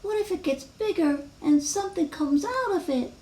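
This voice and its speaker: A woman's voice: extremely high pitch, expressive, a little slow, pitched above the performer's own voice, heavily acted. A woman voicing an anxious, forever fretting little mouse girl in a storybook read-aloud. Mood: anxious, fretful. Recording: echoey room.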